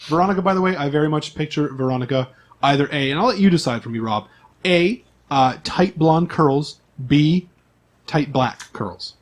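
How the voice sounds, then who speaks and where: A man's voice: fairly high pitch, moderate pace. A man acting as game master in a casual tabletop session, prompting players with questions and repeating their answers back. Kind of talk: casual tabletop role-play session chat.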